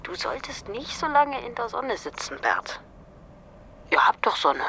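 A woman's voice: a little high, big pitch swings, unhurried, creaky, sharp tone, slight background noise, full character voice. An actress in a German radio drama playing a brusque, sardonic policewoman who talks in a curt, dry, naturalistic way. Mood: sceptical. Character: brusque, sardonic policewoman, sceptical and quick to bite.

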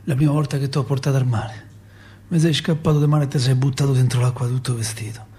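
A man's voice: mid-range voice, steady tone, dark tone, close to an everyday voice. Emotive Roman father, acted by a man in radio drama. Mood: grieving, tender. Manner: colloquial, emotive, halting storytelling. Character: grieving working-class Roman father recalling a street killing. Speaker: radio theatre actor.

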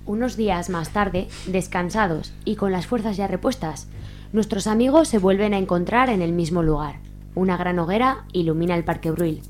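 A woman's voice: on the low side, normal speed, a little hiss or hum. A woman from reading scripted storytelling narration as the narrator of a radio soap. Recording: faint music behind.

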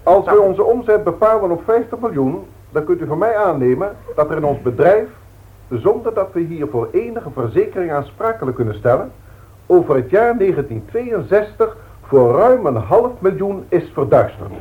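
An actor with a Dutch accent playing a formal, long-winded company director in a 1960s radio drama, speaking in measured, pompous speeches. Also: male voice, high-pitched, big pitch swings. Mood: grave, stern. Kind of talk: 1960s radio drama acting, boardroom address. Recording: thin phone-like old radio recording.

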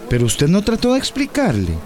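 A man's voice: sits high, huge pitch swings, fairly quick, a little mellow. An actor playing a concerned, formal committee man asking insistent questions in a radio drama. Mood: concerned, probing.

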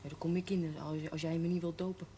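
A cheeky, eager young woman in an old TV drama. Her voice is on the low side and her talk is irreverent and colloquial, over a faintly noisy soundtrack. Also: level tone, fast, warm tone. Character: cheeky, eager young working-class woman seeking baptism. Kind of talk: 1970s Dutch television drama acting.

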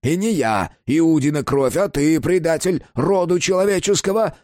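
A man's voice: a little high, normal speed, a little mellow, dry recording, clean studio sound, voice pushed up, lightly acted. A man voicing a sly, corrupt village policeman who wheedles and then hisses threats. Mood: menacing. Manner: wheedling, then hissing and menacing, filler-heavy patter. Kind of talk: audiobook character voice.

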